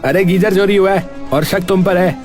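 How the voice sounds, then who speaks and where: A man playing an exasperated, excitable villager who complains and accuses with a sarcastic edge, in an acted comic audio story. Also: high voice, moderate pace, music in the background, lightly acted. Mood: accusing. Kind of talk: Hindi audio comedy story acting.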